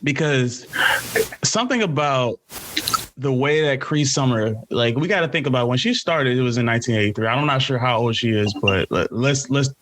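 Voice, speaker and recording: A man chatting on a podcast in a relaxed, slangy, profane way. His voice sits at an ordinary middle pitch over a faint hiss. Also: normal speed. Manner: slangy, profane, laid-back. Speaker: podcast co-host. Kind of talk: casual chat.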